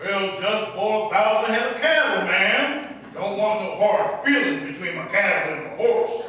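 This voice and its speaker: A man's voice: high voice, animated, unhurried, sharp tone, heavily acted. A man playing a swaggering, boastful rich Texas cowboy caller in an old-time radio comedy, with folksy drawling talk. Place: stage in a meeting hall before an audience. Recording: echoey hall, far from microphone.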